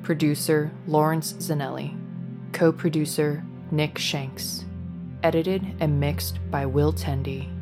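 A woman's voice: sits low, steady tone, slow pace. A woman reading scripted credits as an announcer in a formal, matter-of-fact manner. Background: prominent music.

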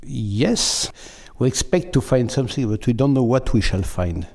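Slow, thoughtful scientist. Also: male voice, mid-range voice, expressive, gravelly, darkish tone. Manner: slow, thoughtful, searching for words. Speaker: scientist interviewee. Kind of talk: interview answers.

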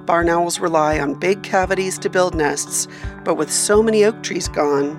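A woman's voice: on the low side, normal speed, over music. A woman radio reporter reading scripted narration for a feature story.

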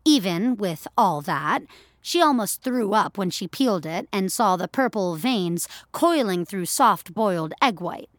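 A woman's voice: mid-range voice, expressive, a little slow, dry recording, studio quality. A woman narrator reading scripted audio fiction as a storyteller.

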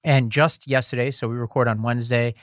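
A man co-hosting a podcast in casual chat, animated and quick to laugh. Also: mid-range voice, dry recording, clean studio sound. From lives in New York. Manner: chatty, laughs often, animated. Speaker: podcast co-host.

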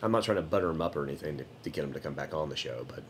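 A man who hosts a comedy show, chatting casually in an interview with playful, deadpan mock-flattery. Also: a bass voice, racing, a little hiss or hum.

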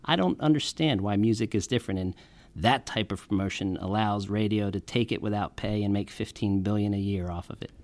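A man's voice: fairly low pitch, fairly quick, darkish tone. A man speaking as a guest on a radio show, arguing emphatically and persuasively with everyday examples. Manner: emphatic, persuasive, builds analogies. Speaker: guest on a music industry show. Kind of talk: arguing a case in a radio discussion.

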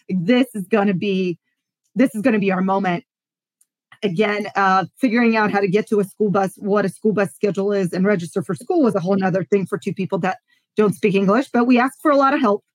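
Brief: middle-aged woman from Poland, animated storyteller, dry close sound, mid-pitched. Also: no echo, poor audio. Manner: animated storyteller, acts out quotes, laughs often. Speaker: podcast guest. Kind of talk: casual interview storytelling.

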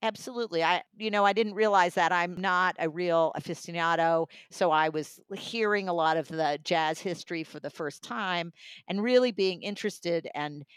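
Casting: female reviewer, smooth clear voice, close dry sound, enthusiastic in casual discussion. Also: fairly low pitch, normal speed, no echo. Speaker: audiobook reviewer.

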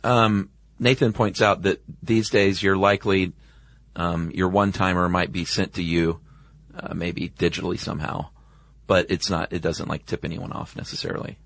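A man's voice: deep voice, steady tone, normal speed, gravelly, fairly bright tone, no room sound. An American man chatting casually as a podcast co-host, with informal, laid-back phrasing. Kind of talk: casual conversational chat.

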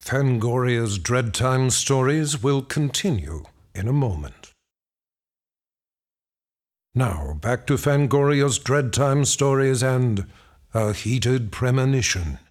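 A man making a measured break announcement for a radio show. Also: mid-range voice.

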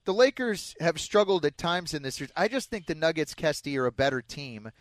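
A man's voice: a little high, animated, a little fast, no room sound. A man from Denver working as a fill-in host on a sports talk radio show. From Denver, United States.

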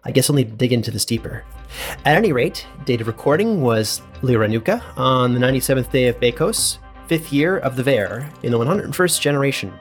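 Bookish inventor-researcher male actor, medium pitch, musing and precise, dictated fantasy log with soft music behind. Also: animated, a little fast, natural voice. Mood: curious, musing, then formal. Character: scholarly, methodical inventor-researcher, musing, curious. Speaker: researcher and narrator. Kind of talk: in-character audio log.

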